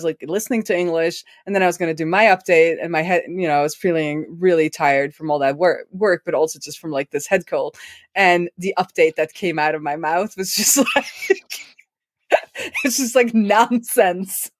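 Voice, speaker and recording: A woman's voice: fairly low pitch, moderate pace, no echo, clean studio sound. A woman with a head cold and a Norwegian first language, co-hosting a casual tech chat, rambling and laughing often. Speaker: co-host.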